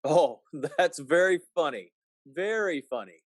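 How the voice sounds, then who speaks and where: A troubled small-town husband played by a man in an old-time radio drama. His voice sits a little high and moves around expressively, halting and folksy with a sardonic, skeptical edge. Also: lively intonation. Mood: skeptical, puzzled. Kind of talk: 1940s-style radio play acting.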